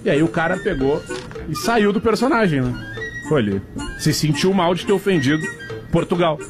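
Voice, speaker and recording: A high, lively man's voice, telling a rambling joke story in slow, drawn-out phrases. He laughs at his own tale while music plays under the studio banter. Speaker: radio show co-host.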